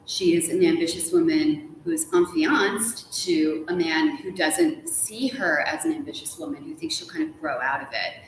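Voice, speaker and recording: A woman's voice: fairly low pitch, big pitch swings, normal speed, hardly any pauses. A woman being interviewed as an author, talking casually and with animation, scattering "like" through her informal answers. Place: large ornate hotel room, indoors. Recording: echoey room with faint background noise.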